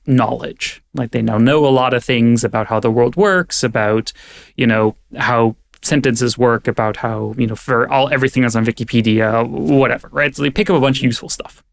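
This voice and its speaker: A man's voice: mid-range voice, vocal fry. Thoughtful young man explaining at length. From California, raised partly in Germany. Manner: thoughtful, explanatory, many fillers. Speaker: guest, AI safety advocate. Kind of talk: conversational podcast interview.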